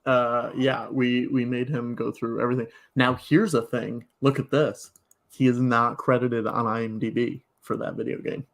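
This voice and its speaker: A man chatting as a podcast guest, playful and quick to interject in casual banter. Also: medium pitch, level tone, gravelly.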